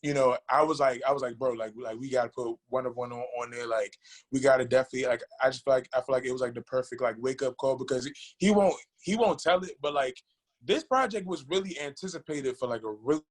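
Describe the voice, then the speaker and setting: A man chatting casually as a guest music producer, halting and repeating himself, with plenty of slang. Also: medium pitch. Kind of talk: casual interview chat.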